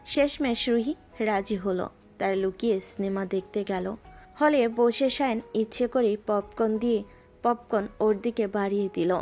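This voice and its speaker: A woman narrating a romantic story in a soft, even storytelling manner. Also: mid-range voice, moderate pace. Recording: music underneath.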